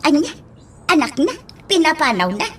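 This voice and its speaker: A woman's voice: high-pitched, big pitch swings, moderate pace, a bit bright, a little hiss or hum. A woman playing a nosy, suspicious friend in an Ilocano comedy radio drama, full of questions and exclamations, with an Ilocano accent.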